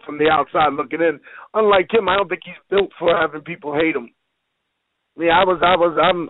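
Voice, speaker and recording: A man giving a casual interview as a professional wrestler, blunt, streetwise and full of slang, over a phone line. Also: on the high side. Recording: hissy, noisy, tinny phone line.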